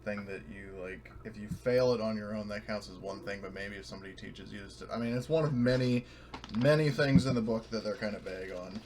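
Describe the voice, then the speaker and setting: A man's voice: fairly low pitch, fairly quick. Man chatting over a noisy mic. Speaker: tabletop game player. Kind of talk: casual chat.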